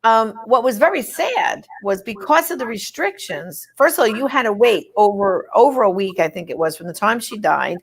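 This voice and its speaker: A woman from Brooklyn with a New York accent, hosting a casual chat with a guest, chatty and animated with quick interjections. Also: mid-range voice, slightly rough. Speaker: host.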